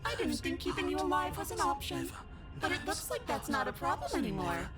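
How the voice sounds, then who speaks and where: An actress playing a menacing, possessive captive creature in a horror game, speaking with smug, theatrical mockery. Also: female voice, very high-pitched, sing-song, fairly quick, over loud music. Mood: cold, mocking. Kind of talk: video game voice acting.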